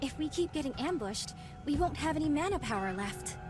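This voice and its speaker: A careful young woman adventurer from a video game. Her voice is high and she speaks with earnest politeness. There is clear music behind her. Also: lively intonation, brisk. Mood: worried, serious. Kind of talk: scripted dramatic game acting.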